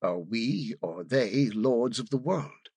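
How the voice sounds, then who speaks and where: Man narrating with lively, swooping intonation. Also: mid-range voice, slow pace, breathy edge, no echo. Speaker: audiobook narrator. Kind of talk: scripted literary narration.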